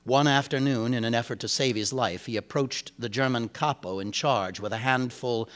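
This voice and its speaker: Male reader for literary fiction: mid-range pitch, measured delivery, deliberate pauses, dramatic first-person narration. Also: fairly bright tone. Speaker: reader of a short story.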